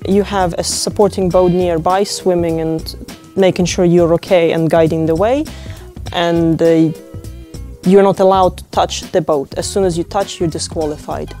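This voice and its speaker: Woman, lowish mellow voice, easygoing interview talk w lots of uhs, soft music under. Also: fairly even intonation. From Ukraine. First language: Ukrainian. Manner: unhurried, with many fillers and restarts. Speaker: interviewee, open-water swimmer.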